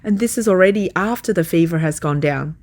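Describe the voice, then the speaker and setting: A woman's voice: lowish voice, brisk, a little mellow. A woman reading translated first-person testimony as a scripted voice-over.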